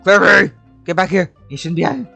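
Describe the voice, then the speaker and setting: A man's voice: high voice, lively intonation, brisk, vocal fry, sharp tone, slightly rough, soft background music. A man giving casual gameplay commentary as a streamer, playfully talking to the game's characters. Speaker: game streamer.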